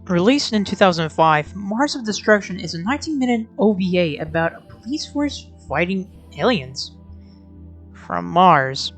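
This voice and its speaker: A woman's voice: fairly low pitch, big pitch swings, normal speed, soft background music. A woman giving scripted video commentary as an anime reviewer, in an informal, sarcastic way.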